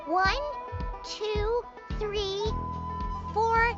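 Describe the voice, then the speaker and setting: A woman voicing a small, excitable, impatient childlike fairy companion in a video game. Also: drawn-out words, heavily acted. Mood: curious, puzzled. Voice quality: squeaky, childlike. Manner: exclaiming, impatient, speaks of self in third person. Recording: muffled game audio under loud music.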